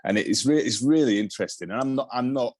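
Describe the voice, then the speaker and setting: A man's voice: mid-range voice, quick pace, a little mellow, no room sound, clean studio sound. A man in his forties with a Yorkshire accent, talking as an interview guest, rambling and restarting his sentences often. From Yorkshire, England. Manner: rambling with many restarts and repeated words. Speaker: TV director, guest. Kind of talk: casual interview talk.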